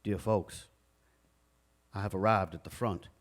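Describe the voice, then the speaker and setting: A man's voice: low-pitched, steady tone, unhurried. An actor playing an earnest young adventurer reading out his letters home in a live stage drama, plain-spoken and declamatory. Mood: sober.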